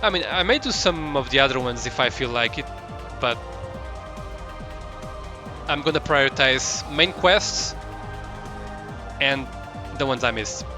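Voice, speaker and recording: A man's voice: mid-range voice, expressive, a little slow, pauses often, music in the background. A man doing casual live commentary as a game streamer, rambling and hesitant as he searches for his words.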